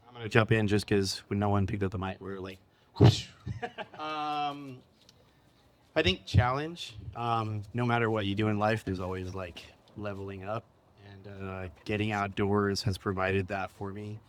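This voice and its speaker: A man's voice: lowish voice, moderate pace. A man from Southern California, a guest on a live panel, talking in a laid-back, jokey way with lots of fillers. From Ontario, California. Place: cafe event stage.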